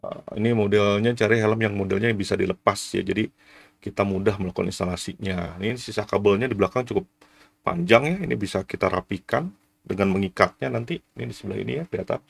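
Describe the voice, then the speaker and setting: Gravelly low-voiced man. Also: fast. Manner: frequent "ya" tags, explanatory. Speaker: vlogger reviewing gear. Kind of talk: casual product review to camera.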